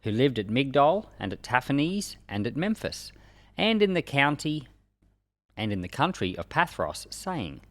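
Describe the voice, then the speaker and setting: Male scripture reader. Solemn and emphatic, widely varied pitch, very dry close sound. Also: very animated, unhurried, a bit bright, dry recording. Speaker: Bible reader and teacher.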